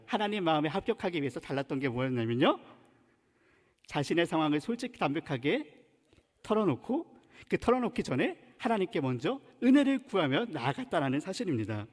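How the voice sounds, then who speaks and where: A male pastor from Chungcheong with a Chungcheong Korean accent, preaching a sermon in an earnest, emphatic manner. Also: a little high. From Chungcheong, South Korea. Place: church sanctuary. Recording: long reverb in church sanctuary.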